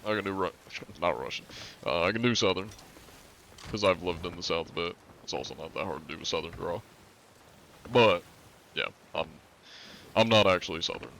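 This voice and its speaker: A man's voice: low-pitched, moderate pace, pauses often, fairly bright tone, slight background noise. A man in his twenties streaming a game, chatting casually and reading out chat with lots of fillers. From northern United States. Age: 20s. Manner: rambling, filler-heavy, reading chat aloud. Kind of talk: casual live-stream chat while gaming.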